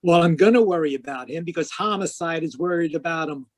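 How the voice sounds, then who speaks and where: Male actor as tough noir detective, slightly high voice, terse, cynical, slangy, old-time radio drama. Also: fast, lightly acted. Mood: tough, pressing. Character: tough, wisecracking hardboiled private investigator narrating his case. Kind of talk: 1940s radio noir acting.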